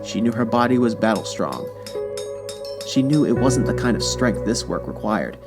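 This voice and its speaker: A man narrating a dramatic scripted story in a deliberate, solemn manner. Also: on the low side, very animated. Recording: music behind the voice. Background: instrumental music.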